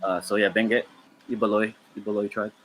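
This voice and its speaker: A man's voice: lowish voice, little pitch movement, fairly quick, a bit bright, a little hiss or hum, low-quality recording. A man in his thirties, a guest on a casual podcast chat, joking about himself and laughing often. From Philippines, raised in Canada. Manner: self-deprecating, laughing often.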